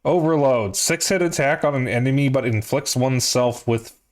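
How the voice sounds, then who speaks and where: A man's voice: medium pitch, moderate pace. A man with a somewhat nasal voice, casually commentating a game stream and bantering jokingly with chat in playful mock denials.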